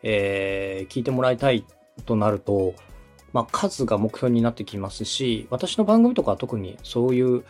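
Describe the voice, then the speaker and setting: Husky, lively male podcast coach. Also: medium pitch, normal speed, faint music behind. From Osaka, Japan. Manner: polite, instructive. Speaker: podcast host and coach, radio director. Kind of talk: consulting conversation, explaining step by step.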